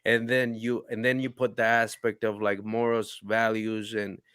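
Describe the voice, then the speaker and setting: A man talking in a casual podcast conversation, reflective and halting, often restarting his sentences. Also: fairly low pitch, flat delivery, fairly bright tone, clean tone, dry recording, studio quality.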